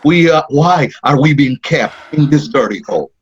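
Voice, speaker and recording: A man's voice: on the high side, expressive, normal speed, slightly rough. An actor with a Puerto Rican accent playing an indignant, sarcastic Puerto Rican man in a play reading. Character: Puerto Rican man raised in New England, indignant, fussy. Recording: dull lo-fi audio.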